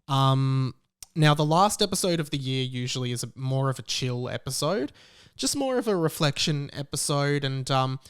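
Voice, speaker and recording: A man's voice: mid-range voice, animated, normal speed, clear, resonant tone. A young Australian man hosting a podcast, talking in a casual, chatty and emphatic way on his own. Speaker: podcast host. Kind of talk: casual solo podcast monologue.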